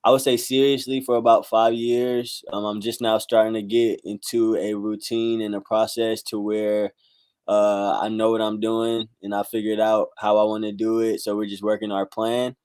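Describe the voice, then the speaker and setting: A man in his twenties from South Carolina, a musician talking casually in an interview, relaxed and full of fillers. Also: on the low side, moderate pace, smooth, no room sound. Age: 20s. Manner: laid-back, many fillers like "um", "like" and "bro". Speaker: musician guest.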